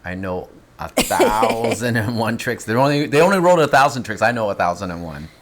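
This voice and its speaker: A man in casual instructional chat, explaining his film gear step by step with lively, teasing asides. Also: lowish voice, brisk.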